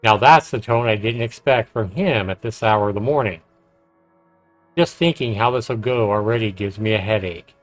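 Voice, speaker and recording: Lowish-voiced man narrating a game story. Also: normal speed, no room sound, low-quality recording. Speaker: game streamer. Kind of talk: reading visual novel narration aloud with casual commentary. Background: game music.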